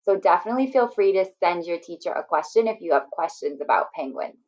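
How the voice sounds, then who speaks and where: A woman's voice: on the low side, expressive, quick pace, highs cut off. A woman teacher giving a lesson to young children, encouraging them with simple, friendly explanations. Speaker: first grade teacher. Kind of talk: read-aloud lesson addressed to children.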